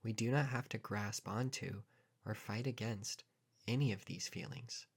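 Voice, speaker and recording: A man leading a guided meditation in a calm, measured manner, leaving pauses between short phrases. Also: fairly low pitch, creaky, breathy edge.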